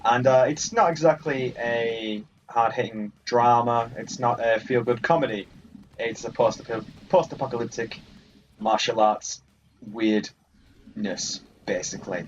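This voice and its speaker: A man's voice: medium pitch, measured pace, a little hiss or hum. Rambling man on a muffled mic. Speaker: gaming video host. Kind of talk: casual let's play commentary.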